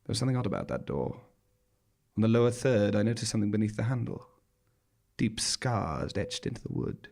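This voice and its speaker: Male monologue performer with gravelly creak, dry wit and dramatic stage storytelling. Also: on the low side, sing-song, brisk, a little mellow. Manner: arch, droll, dramatic delivery. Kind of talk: theatrical scripted first-person storytelling. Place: small theatre stage, live performance.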